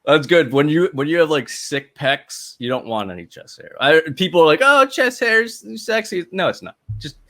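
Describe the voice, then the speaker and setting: A man's voice: a little high, very animated, moderate pace, few pauses, sharp tone. A man hosting a casual podcast, with a lot of rise and fall in his voice. He sounds laid-back and reacts to his guest, laughing along as they talk. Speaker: podcast host. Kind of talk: casual chat.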